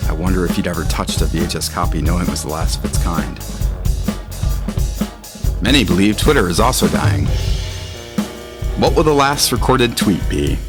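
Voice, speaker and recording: Deep voiced guy reading literary audio fiction, guitar playing under him. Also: moderate pace, pauses often. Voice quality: low-pitched. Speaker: narrator. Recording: music under the voice. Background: guitar music.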